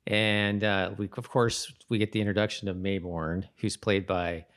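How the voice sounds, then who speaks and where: A male podcast host with a fairly low voice talks casually about a television show. He tends to halt and rephrase himself, keeping the delivery conversational. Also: little pitch movement, normal speed, a bit bright, clean tone.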